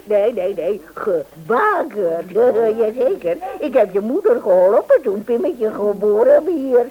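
Excitable, high-voiced old aunt. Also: female voice, unhurried. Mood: teasing, chuckling. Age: elderly. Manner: excitable, repeats herself, chuckles often. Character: spry, chattering old aunt, cheerful and scatterbrained. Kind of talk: radio drama acting. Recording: dull lo-fi radio recording, chattering crowd.

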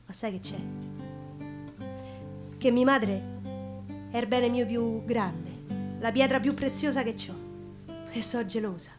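High-voiced Roman storyteller woman. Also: slow pace, pauses often, over music. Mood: tender, guarded. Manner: colloquial, rambling, addressing the audience. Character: chatty Roman woman storyteller, wistful and guarded. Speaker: stage actress. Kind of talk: theatrical monologue in dialect.

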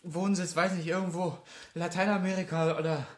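An actor playing a scared but defiant young informant in a radio drama, stammering with whiny bravado. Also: male voice, high-pitched, flat delivery, a little fast, natural voice. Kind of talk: German radio drama acting.

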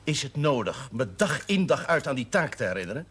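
An actor playing a jovial middle-aged parish priest, hearty and self-mocking, in a 1970s television drama. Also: male voice, medium pitch, sing-song, brisk, fairly bright tone, breathy edge. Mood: warm.

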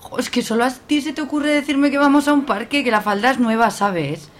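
A woman's voice: high voice, a little fast, natural voice. A young woman acting a fussy, posh and snobbish complainer in an amateur radio soap, indignant and whiny. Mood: whiny, anxious. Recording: clear background noise.

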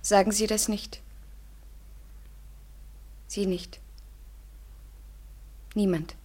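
An actress in a German radio play as a young woman consumed by desperate love, speaking slowly and intensely in a breathy near-whisper. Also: mid-range voice, steady tone, mellow. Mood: shocked, pleading. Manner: slow, halting, intense, poetic delivery. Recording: 1970s radio drama studio recording.

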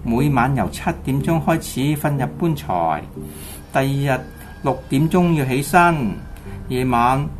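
A woman playing a solemn Taoist master in a radio drama, lecturing with measured authority. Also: a bass voice, lively intonation. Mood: instructing. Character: solemn, knowing Taoist master giving ritual advice. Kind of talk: Cantonese radio drama acting.

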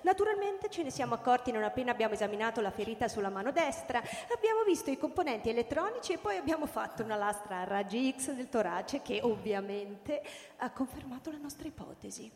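High-voiced clinical doctor, echoing hall. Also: female voice, sing-song, fast, hardly any pauses, darkish tone. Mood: clinical, detached. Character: coolly clinical woman doctor breaking grim news. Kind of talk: theatrical radio drama acting. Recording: echoey hall with long reverb.

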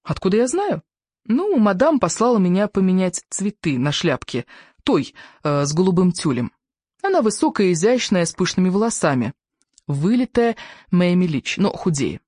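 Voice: mid-range voice, sing-song, moderate pace, a little mellow, husky, no room sound, clean studio sound. A narrator voicing a gossipy, opinionated young woman who works in a hat shop, chatty and exclamatory. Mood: eager gossip.

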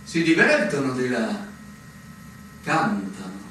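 An actor playing a young, newly commissioned army lieutenant, polite, eager and unsure, in a theatrical radio drama. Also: male voice, medium pitch, sing-song, slow pace, a bit bright. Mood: wistful, weary. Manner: formal, deferential to superiors. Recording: distant, very echoey, noisy stage recording.

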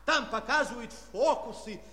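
An actor playing a pompous, excitable circus magician in a children's audio play, announcing grandly and drawing out his words. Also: extremely high pitch, normal speed. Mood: showy, delighted. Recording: echoey hall sound, faint crowd behind.

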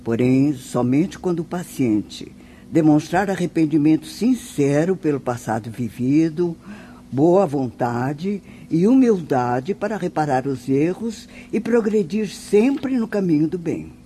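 A woman's voice: sits low, animated, measured pace, warm tone, a little hiss or hum. A woman playing a wise, compassionate spirit mentor in a radio drama, teaching in a solemn, formal manner. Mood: grave, consoling.

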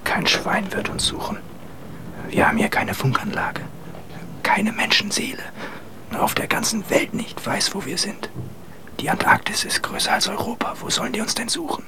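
Hushed jittery young sailor, high-voiced. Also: male voice, sing-song, normal speed, whispered. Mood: bleak, agitated. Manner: hushed, blunt, incredulous. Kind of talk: radio drama dialogue.

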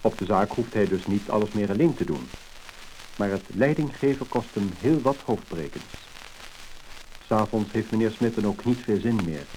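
A faintly crackling mid-century record features a man narrating in Netherlands Dutch. His voice is fairly low, and his scripted delivery is formal and measured. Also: animated, dark tone. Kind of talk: 1950s scripted documentary-style narration.

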